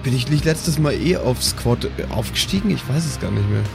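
A man playing in an improvised tabletop audio show, casual and slangy with a creaky voice. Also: medium pitch, expressive, fairly quick. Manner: slangy, colloquial. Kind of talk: casual improvised role-play banter. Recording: music bed behind the voice.

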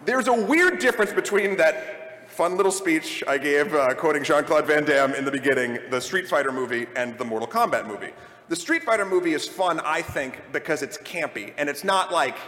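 A man's voice: fairly high pitch, fairly bright tone. A man hosting a live panel at a convention, talking casually and rambling, often correcting himself as he goes. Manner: rambling, self-correcting, jokey. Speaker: podcast host on a live panel. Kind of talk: casual panel talk before a live audience. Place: convention hall. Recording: echoey hall with long reverb.

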